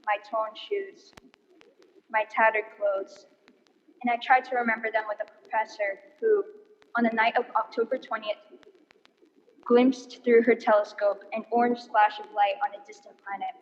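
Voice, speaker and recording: A woman's voice: on the high side, level tone, moderate pace, lightly acted. A woman playing a weary, haunted professor and lone survivor in a radio play monologue. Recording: reverberant hall, dull stage recording.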